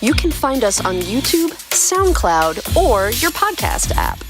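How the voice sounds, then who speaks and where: A woman's voice: on the high side, very animated, takes it slowly, over music. A woman podcast host reading a scripted promo and narrating a story dramatically.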